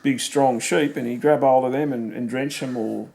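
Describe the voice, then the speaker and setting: A man's voice: mid-range voice, steady tone, normal speed, gravelly, darkish tone. A middle-aged Australian man casually reminiscing about family, rambling with fillers and leading questions. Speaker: family member recording memories.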